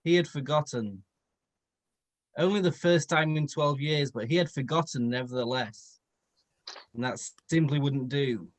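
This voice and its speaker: A man's voice: medium pitch, a little slow, long pauses, no room sound. A man narrating a story aloud for comedy in a playfully dramatic way. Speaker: comedian reading fan fiction aloud.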